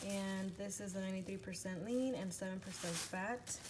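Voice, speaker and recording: A woman's voice: mid-range voice, normal speed, heavy background noise. A woman talking through a recipe as she cooks in her home kitchen, chatty and correcting herself as she goes, filmed on a handheld phone. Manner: chatty, self-correcting, talks while cooking. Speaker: home cooking vlogger. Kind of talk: casual cooking tutorial. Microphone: handheld phone camera.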